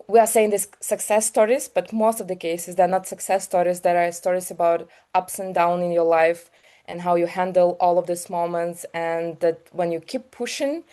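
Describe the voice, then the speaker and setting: Mellow-voiced Ukrainian woman, earnest. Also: lowish voice. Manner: earnest, halting phrasing in non-native English. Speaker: guest, health business founder. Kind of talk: conversational interview answers.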